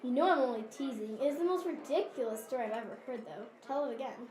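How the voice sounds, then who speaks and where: A woman's voice: sits high, fast talker, darkish tone, lightly acted. A woman playing a teasing, skeptical woman in an amateur audio drama. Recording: echoey room with crowd noise.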